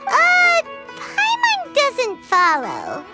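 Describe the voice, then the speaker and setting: Super high squeaky little girl game sidekick, cheeky, voice bouncing all over. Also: huge pitch swings, very slow, over loud music, heavily acted. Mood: confused. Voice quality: squeaky, childlike. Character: tiny childlike floating girl companion, cheeky and blunt. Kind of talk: anime game voice acting.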